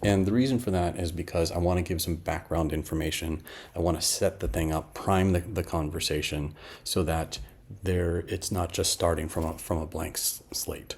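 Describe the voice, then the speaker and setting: Very deep husky middle-aged man. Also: fairly even intonation, normal speed. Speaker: app developer presenting a demo. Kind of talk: casual screen demo narration.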